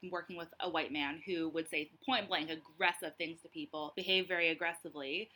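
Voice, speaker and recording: Young woman, candid, assertive, low-ish bright voice, casual podcast chat. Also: fairly even intonation, brisk, rough recording. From Canada. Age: young adult. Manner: candid, calls herself assertive. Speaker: podcast guest. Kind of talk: casual conversation.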